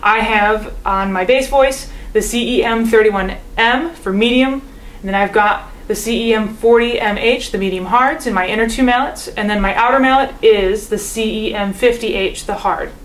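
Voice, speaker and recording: A woman's voice: fairly high pitch, normal speed. Young woman teaching in a roomy room. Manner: calm, patient explaining. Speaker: percussion teacher. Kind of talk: instructional demonstration. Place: living room at home.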